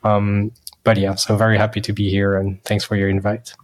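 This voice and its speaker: A man's voice: on the low side, completely flat delivery, brisk. A man with a French accent chatting casually as a podcast co-host, in a loose, conversational way. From France.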